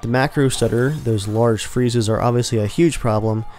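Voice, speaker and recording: A man talking casually as the host of his gaming channel, rambling in a relaxed, conversational way. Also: mid-range voice, normal speed, faint music behind. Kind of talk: casual gameplay commentary.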